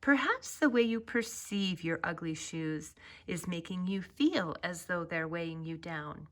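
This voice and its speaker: Woman voicing a bright, kind storybook ostrich. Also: lowish voice, unhurried. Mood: gently encouraging. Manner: gentle, earnest, reassuring storybook delivery. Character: kind, encouraging ostrich friend of a princess. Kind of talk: children's storybook character voice.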